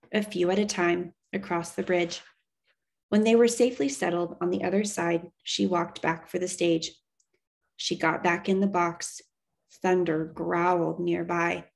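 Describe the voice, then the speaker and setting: A woman reading a children's novel aloud as an expressive storyteller. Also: on the low side, creaky.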